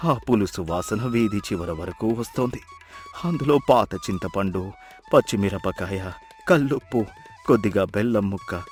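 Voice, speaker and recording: A man's voice: fairly low pitch, lightly acted. A man playing an old, starving wandering mendicant, plaintive and mysteriously knowing, in acted moral story dialogue. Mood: hungry, coaxing. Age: older. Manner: pleading, plaintive, ominous.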